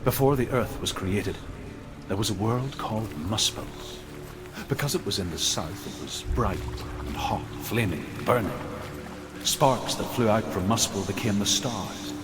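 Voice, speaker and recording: A man playing a grave storyteller of old Norse myths in a video game, speaking with measured weight, in reverb over music. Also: lowish voice, natural voice. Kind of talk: scripted mythic game narration. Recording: long reverb, loud music behind.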